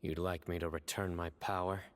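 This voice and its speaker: An actor playing a serious young male judge in a game, speaking in a calm and restrained way. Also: deep voice, a little fast, natural voice.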